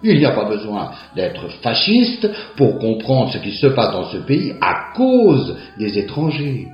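A man voicing a smug lawyer husband who sneers and rants, his voice swinging lively up and down. The sound is roomy and dull. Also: unhurried. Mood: ranting. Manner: lively, sneering, prejudiced ranting. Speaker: defence lawyer. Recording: echoey, dull low-fi.

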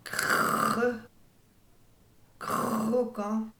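A woman slowly reciting single words, one at a time, as a speech exercise. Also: a little high, level tone, pushed and bright, roomy sound. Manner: slow, deliberate single words.

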